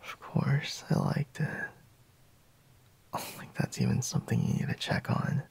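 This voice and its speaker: A man playing a sleepy, intimate boyfriend in a whispered ASMR roleplay, murmuring haltingly in a breathy, husky voice. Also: a little mellow, natural voice. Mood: hushed, flustered.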